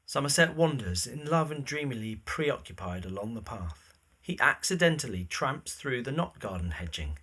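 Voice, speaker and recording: A man's voice: medium pitch, very animated, moderate pace, few pauses, sharp tone, breathy edge. A man reading scripted present-tense narration for an audio drama.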